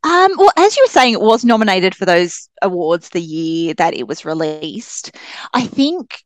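A woman's voice: fairly low pitch, very animated, measured pace, no echo. An Australian woman talking casually and enthusiastically about books as a podcast guest. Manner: enthusiastic, chatty. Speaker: guest author on a book podcast. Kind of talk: casual book discussion.

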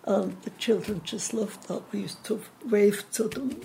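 A woman in her eighties with a Swiss accent, gently recalling memories in an interview with hesitant pauses. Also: mid-range voice, vocal fry, slight background noise. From Switzerland. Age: 80s. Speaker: interview guest. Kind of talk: casual reminiscing.

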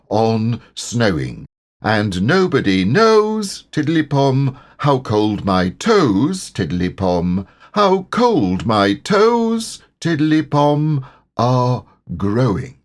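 A man voicing a cheerful, slow-witted storybook bear who talks slowly and gets muddled. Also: mid-range voice, slightly rough, voice pushed up, heavily acted.

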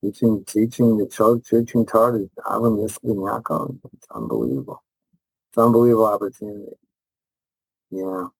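Emphatic middle-aged man, lower voice, dry sound. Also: a little fast, vocal fry, darkish tone, slightly rough, no room sound, poor audio. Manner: enthusiastic, emphatic, repeats phrases. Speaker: rabbi and Torah teacher, interview guest. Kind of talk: conversational interview answers.

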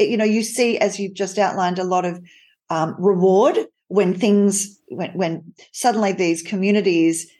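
A woman's voice: mid-range voice, darkish tone. A woman interviewer asking long, rambling questions in an admiring, conversational way.

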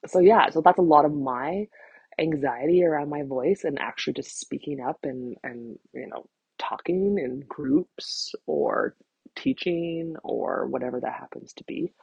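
A woman's voice: low-pitched, a little slow, vocal fry, darkish tone, breathy edge, no room sound, lo-fi sound. A woman in her thirties hosting a casual solo podcast, rambling candidly and self-deprecatingly, swearing freely. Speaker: podcast host, vocal empowerment coach.

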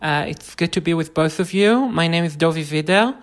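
A man from Israel with an Israeli accent, a native Hebrew speaker explaining things informally in halting phrases as a podcast guest. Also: on the high side.

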